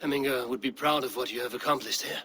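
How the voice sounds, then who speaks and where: An actor playing a steady, commanding Viking warrior leader in a game cutscene. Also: male voice, medium pitch, fast, natural voice. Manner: calm, commanding. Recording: game audio with ambient background.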